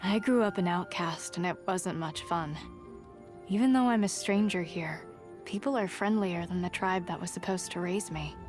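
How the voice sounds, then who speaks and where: An actress playing a guarded, resolute young huntress in a video game, plain-spoken and understated. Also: female voice, on the low side, moderate pace, a little mellow, soft background music, close to an everyday voice. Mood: reflective, guarded. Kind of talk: video game character voice lines.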